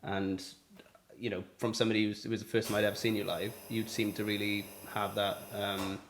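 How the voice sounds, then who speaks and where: Male host, slightly low voice, loose chatty questions. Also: flat delivery, brisk, a little hiss or hum. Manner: friendly, rambling questions with fillers. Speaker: podcast host. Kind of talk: casual interview chat.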